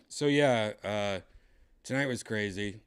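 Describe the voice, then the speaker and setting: Raspy, slow-talking tipsy man. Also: on the low side, big pitch swings. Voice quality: raspy, dry throat. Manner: rambling, slow, clears throat often. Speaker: comedian streaming host. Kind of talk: casual livestream chat.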